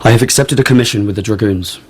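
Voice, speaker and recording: A man's voice: lowish voice, quick pace, husky. A young man acting an earnest, honourable nobleman shamed by his family, speaking formally and contritely in a radio drama. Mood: earnest, anxious. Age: young adult.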